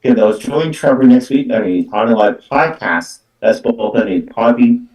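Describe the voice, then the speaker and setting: A man giving a live psychic card reading, with halting, repetitive phrasing full of verbal tics. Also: medium pitch, animated, darkish tone. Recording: echoey room, distant from microphone.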